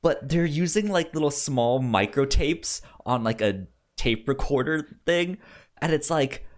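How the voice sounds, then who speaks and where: A man co-hosting a casual film discussion, speaking haltingly and often repeating sounds and words as he goes. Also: fairly high pitch. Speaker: film podcast co-host.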